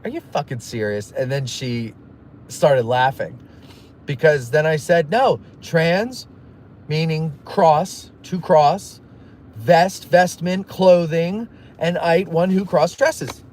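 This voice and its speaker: A man's voice: on the high side, takes it slowly, a little mellow. A man hosting a casual live stream, rambling through stories and jokey asides while reading viewer messages. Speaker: livestream host. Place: in a moving car.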